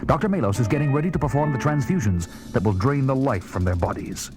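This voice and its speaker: A man's voice: medium pitch, animated, fast talker, natural voice. A man narrating a science fiction audio drama in a scripted, dramatic storytelling style. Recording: loud music under the narration.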